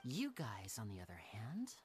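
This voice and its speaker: Smooth young male magician, lively. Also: very deep voice, moderate pace, a little hiss or hum, exaggerated voice. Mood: playful, modest. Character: smooth, charming young male stage magician, teasing, poised. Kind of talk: video game voice acting.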